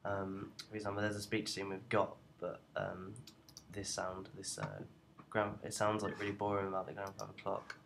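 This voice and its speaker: A young man giving an informal software tutorial in a hesitant, halting way. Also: lowish voice, monotone, creaky, a little hiss or hum. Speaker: student tutorial presenter.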